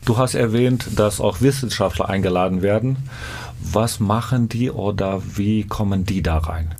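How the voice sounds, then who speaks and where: Man with a lowish voice asking questions. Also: normal speed, darkish tone. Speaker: radio interviewer. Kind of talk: radio interview.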